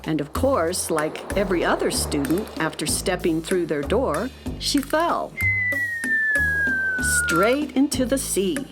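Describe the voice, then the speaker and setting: A woman's voice: on the low side, big pitch swings, takes it slowly, over loud music. A woman narrating a scripted children's story as the narrator of a radio drama.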